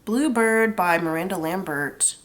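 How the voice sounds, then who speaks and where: A woman's voice: on the low side, unhurried, slight background noise. A young woman with a stuffed-up nose from a cold, chatting casually through a tarot reading and explaining each pull with lots of "like". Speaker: tarot reader.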